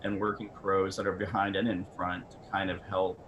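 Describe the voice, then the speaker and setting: A man's voice: low voice, flat delivery, normal speed, a bit bright, dull audio. A man speaking casually as a guest expert on a panel, conversational and rambling from one thought to the next. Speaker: camera company representative, guest expert. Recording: faint background noise.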